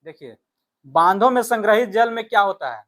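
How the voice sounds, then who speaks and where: Male exam tutor, high-voiced, explains step by step and restates key points. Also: expressive, a little fast, a bit bright. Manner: instructive, repeats points and reads questions aloud in Hindi and English. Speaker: exam coaching teacher. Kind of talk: explanatory test-prep lesson.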